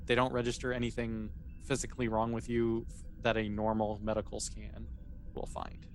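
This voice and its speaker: A man running a tabletop sci-fi game as game master, improvising narration and explaining in an informal, halting way with self-corrections. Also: lowish voice, completely flat delivery. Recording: clearly noisy recording.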